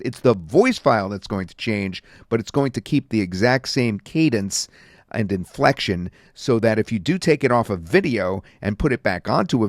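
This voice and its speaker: A man reviewing and demonstrating software in a casual, rambling way with many fillers. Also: medium pitch, dry recording, studio quality. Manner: casual, filler-heavy talk. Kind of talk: screen-recorded software demo.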